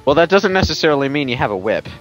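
A man's voice: on the high side, sing-song, racing, fairly bright tone. A man giving casual, joking game commentary in a group, with a sarcastic, mock-exasperated manner. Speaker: gaming commentator. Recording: game music behind the voice. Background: video game music.

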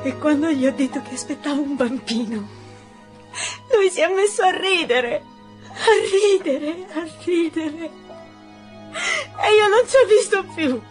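A wronged, distraught young working girl in a radio drama. Her voice is very high, slow and tearful, halting between phrases, with music behind it. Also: expressive. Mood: anguished. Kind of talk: Italian radio drama acting.

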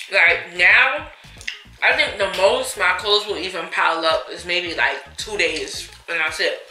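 A young American woman chatting casually and emphatically, with a sassy, expressive manner, as in a vlog storytime. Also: fairly low pitch. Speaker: vlogger. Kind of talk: casual storytime chat during a mukbang. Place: indoors in a small room at a table. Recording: echoey room.

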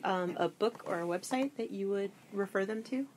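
A woman hosting a podcast interview, thanking her guest warmly and laughing easily. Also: mid-range voice, normal speed, slight background noise. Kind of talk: casual interview chat.

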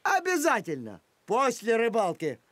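An actor voicing a goofy, simple, good-natured male talking dog in a cartoon, with a naive, excitable manner. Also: medium pitch, unhurried, background noise. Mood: puzzled, carefree. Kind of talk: animated series voice acting. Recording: loud music behind the voice.

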